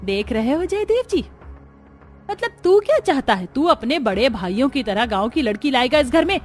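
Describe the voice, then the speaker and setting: A woman's voice: high-pitched, sing-song, moderate pace, faint music behind. A woman playing a bossy, disapproving middle-aged mother-in-law in a cartoon family drama, scolding with sarcasm and drama. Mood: scornful, aggrieved.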